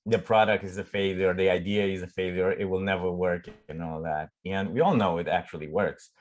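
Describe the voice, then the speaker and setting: Low-voiced Romanian man, halting speech. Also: fairly even intonation. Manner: halting, searching for words, frequent restarts. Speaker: startup CEO guest. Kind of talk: casual conversational interview.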